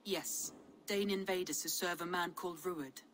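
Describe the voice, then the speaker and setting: Woman playing a composed, low-voiced Viking advisor. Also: fairly even intonation, moderate pace, fairly bright tone, slight background noise, natural voice. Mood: composed, concerned. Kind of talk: dramatic video game acting.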